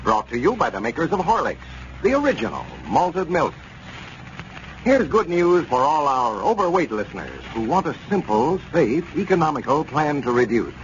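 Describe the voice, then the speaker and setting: Lively old-time American radio announcer man. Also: on the high side, normal speed. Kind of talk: 1930s-40s radio announcing, scripted sponsor pitch and narration. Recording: muffled, noisy old radio recording.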